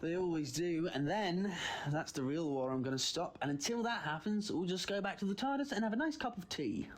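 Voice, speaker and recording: A man's voice: sits high, lively intonation, brisk. A man with a British accent playing a wry, confident time traveler in a sci-fi audio drama, theatrical and flippant. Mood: playful. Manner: flippant, theatrical, swinging from playful to grave.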